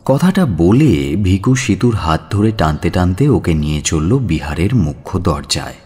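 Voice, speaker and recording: Deep, husky, expressive male storyteller. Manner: measured, expressive, drawn-out phrasing. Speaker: narrator. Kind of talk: dramatic storytelling narration.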